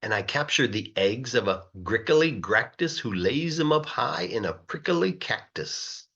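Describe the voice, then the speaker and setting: A man reads a children's story aloud in an expressive, sing-song rhythm, stretching words for emphasis like a teacher reading to a class. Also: medium pitch, measured pace, creaky, muffled recording. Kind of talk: animated rhyming storybook narration.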